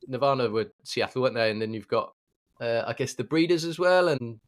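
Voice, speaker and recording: A man's voice: medium pitch, expressive, brisk. A British man hosting a podcast, asking questions in a casual, slightly tentative conversational way. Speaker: podcast host. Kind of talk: casual interview chat.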